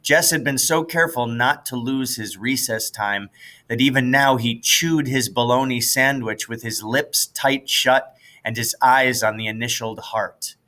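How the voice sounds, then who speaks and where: An American man narrates a storybook in a bright, forceful voice of medium pitch. He takes his time, reading at a slow, measured pace. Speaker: audiobook reader, teacher.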